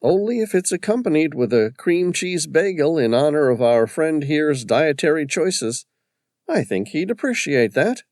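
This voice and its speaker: A man's voice: on the high side, very animated, moderate pace, darkish tone, no echo, clean studio sound, voice pushed up. A male narrator voicing a wry, practical woman paramedic in a storybook reading.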